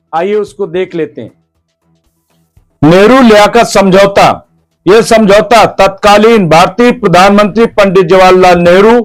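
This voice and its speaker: A man reading a scripted news explainer in a formal, measured way, in short phrases. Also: sits high, rough recording. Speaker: news narrator.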